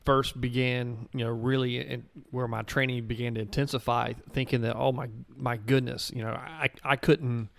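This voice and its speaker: A man who races Ironman, talking as a podcast guest in a halting way, leaning on "you know" fillers. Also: mid-range voice, fairly even intonation, gravelly. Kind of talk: casual podcast chat.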